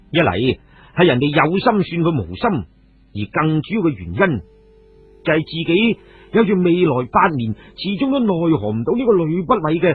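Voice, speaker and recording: A man's voice: fairly high pitch, breathy edge. A man with a Cantonese accent narrating a dramatised story as a radio storyteller. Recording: muffled phone-like radio audio, music behind.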